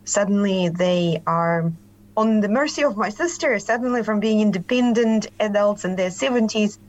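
A woman from Ukraine with a Ukrainian accent, speaking as a professor on a discussion panel in an earnest, measured way. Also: medium pitch, darkish tone, lo-fi sound.

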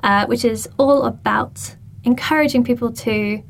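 A woman's voice: fairly high pitch, unhurried, creaky. A woman hosting a panel discussion, guiding guests with encouraging remarks. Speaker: radio host.

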